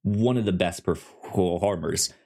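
A man co-hosting a podcast, reviewing a show in casual chat, talking haltingly with frequent stammers and restarts. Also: deep voice, dark tone. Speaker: podcast co-host.

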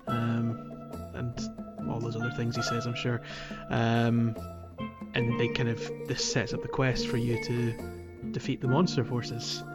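A man's voice: medium pitch, monotone, measured pace, loud music behind the voice. A man hosting a podcast, chatting casually and thinking aloud as he goes. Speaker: podcast host. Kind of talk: casual gaming podcast chat.

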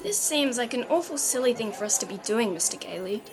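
Actress for frank, unimpressed young girl in radio play; high, matter-of-fact voice over music. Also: sing-song, brisk. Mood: sceptical, frank. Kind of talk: old-time radio play acting.

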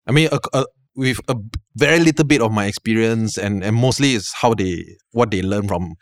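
A man's voice: lowish voice, a little fast, no echo, clean studio sound. A man who grew up in Thailand, speaking as a film director guest on a podcast, telling stories with lots of restarts and quoted voices. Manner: animated storytelling, acts out quoted people, many restarts. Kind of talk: casual podcast chat.

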